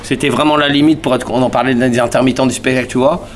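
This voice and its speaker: A fast-talking musician in his forties from the Paris suburbs chats in a radio interview. His talk is slangy and rambling, full of fillers and restarts. A faint hum of room ambience runs behind him. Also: medium pitch, fairly even intonation. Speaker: band member, musician guest. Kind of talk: casual radio interview chat.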